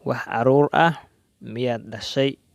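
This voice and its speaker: A man with a Somali accent teaching a language lesson at a middle pitch. He says each English phrase slowly and carefully, then explains it quickly in Somali. The recording is close and dry, with no room sound. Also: fairly even intonation, creaky, no room sound. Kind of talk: slow English dialogue drills with Somali explanations.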